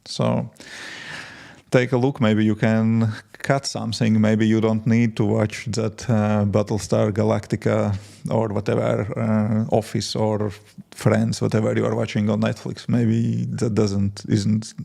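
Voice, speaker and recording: A man in his forties from Latvia with a Latvian accent, chatting casually with viewers while coding and answering their questions with halting restarts. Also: on the low side, flat delivery, creaky, mellow. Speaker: indie app developer streaming. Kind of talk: casual live-stream chat while coding.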